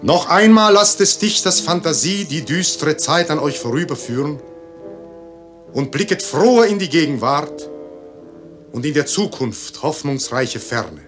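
Solemn prologue speaker, slow, highish animated voice, stately verse declamation. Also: male voice, soft background music. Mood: solemn, grave. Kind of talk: recited German verse prologue.

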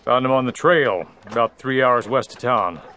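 An actor playing a calm, observant, plain-spoken cowboy in a western audio drama, talking in a laconic, musing way. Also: male voice, mid-range voice, normal speed, slight background noise, natural voice. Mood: polite, matter-of-fact.

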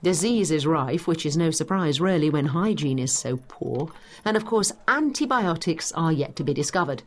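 A knowledgeable time-travelling history guide in an educational audio drama. Her voice sits a little low for a woman and swings animatedly as she explains things in a brisk, teacherly way. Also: lively intonation. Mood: informative, brisk.

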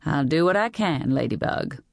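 A woman voicing a gentle, lower-pitched grandmother. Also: animated, moderate pace, gravelly, no echo, studio quality. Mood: soothing, measured. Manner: gentle, mildly chiding. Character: gentle grandmother caught between daughter and granddaughters. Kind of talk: audiobook character voice.

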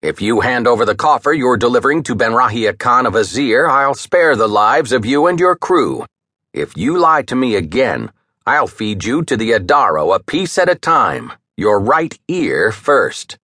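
A man's voice: mid-range voice, very animated, moderate pace, pushed and bright, dry recording, studio quality, voice pushed up. A man voicing a confident, swaggering pirate captain who speaks in a commanding, menacing way.

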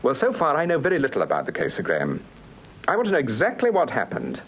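An actor playing a suave, inquisitive gentleman detective in a radio crime drama, urbane and probing, with a British accent. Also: medium pitch, wildly swooping pitch, quick pace, husky, full character voice. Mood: curious, probing. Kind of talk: full-cast radio crime drama acting.

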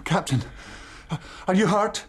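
A man's voice: sits high, animated, takes it slowly, husky, heavily acted. A male actor playing a polite, anxious innkeeper's boy in a radio drama. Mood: concerned.

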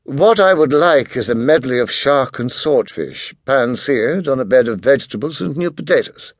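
A haughty teenage boy genius from a storybook , acted out by a man. He speaks formally and precisely, with pompous, very lively intonation in a dry, close recording. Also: mid-range voice, normal speed, dry recording. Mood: haughty, cutting.

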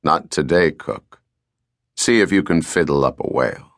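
A man's voice: very deep voice, lively intonation, normal speed, vocal fry, no echo, clean studio sound, pitched below the performer's own voice, heavily acted. A man voicing a commanding, desperate whaling ship captain in an audiobook, speaking in terse orders. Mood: curt.

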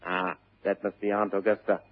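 An actor playing a witty, flippant upper-class English bachelor in a classic stage comedy, airy, glib and theatrical. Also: male voice, deep voice, flat delivery, normal speed, close to an everyday voice. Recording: muffled vintage narrow-band radio recording.

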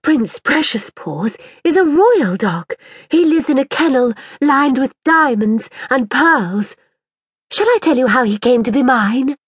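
A woman's voice: sits high, lively intonation, a little slow, husky, no room sound, clean studio sound, pitched above the performer's own voice, heavily acted. A woman voicing a haughty, boastful young girl with a pompous, grand manner in a storybook reading.

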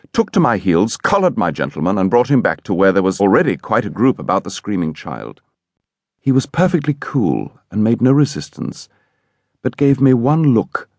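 A man playing an indignant English gentleman who recounts a horrible incident in a vivid, emphatic way, as audiobook storytelling. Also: lowish voice, no echo. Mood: agitated, vivid.

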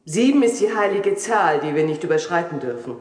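A woman's voice: on the low side, expressive, a little fast, a little mellow, slightly rough. An actress playing a secretive, assured woman who coaxes others into a cult in a German audio drama. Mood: businesslike, secretive. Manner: coaxing, assured.

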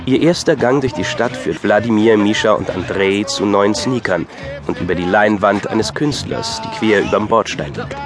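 A man with a fairly low voice narrates a scripted audio drama. He tells the story in a dryly wry manner, and music plays clearly behind him. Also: normal speed.

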